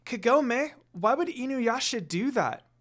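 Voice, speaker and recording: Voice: medium pitch, lively intonation, unhurried, pitched above the performer's own voice. A narrator voicing an eager, curious little girl in a fan fiction story. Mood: worried.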